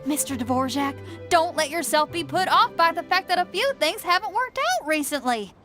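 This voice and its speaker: An actress playing a bold, upbeat young female rock musician in a game, talking in an energetic, breezy and persuasive way. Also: extremely high pitch, moderate pace. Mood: encouraging. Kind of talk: game dialogue. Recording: game audio with faint music behind.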